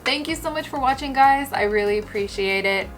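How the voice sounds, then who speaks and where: A woman hosting a houseplant care video, explaining things in a chatty, relaxed way while losing her voice. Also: high voice, a little fast, soft background music. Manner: chatty, relaxed, and explanatory, addressing viewers directly. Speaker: houseplant care channel host. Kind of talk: casual instructional talk.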